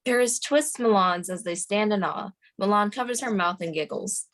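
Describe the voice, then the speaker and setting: A woman narrates a screenplay at a table read. Her voice sits at a middle pitch as she reads out scene headings and action in a scripted narrating style. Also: moderate pace, fairly bright tone. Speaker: stage directions reader at a screenplay table read.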